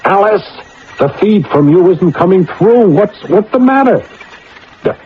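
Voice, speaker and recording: An actor in a radio drama playing and narrating as an anxious, easily flustered government adviser. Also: male voice, high-pitched, lively intonation, measured pace, slight background noise. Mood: panicked, flustered. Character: anxious, flustered male government adviser bluffing through his job. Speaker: protagonist and narrator. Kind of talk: radio drama acting and first-person narration. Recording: muffled old radio broadcast with music.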